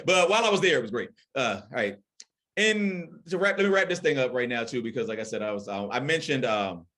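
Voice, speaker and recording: A man's voice: mid-range voice, fairly bright tone. A man performing a casual stand-up comedy set in a conversational, rambling, self-deprecating way.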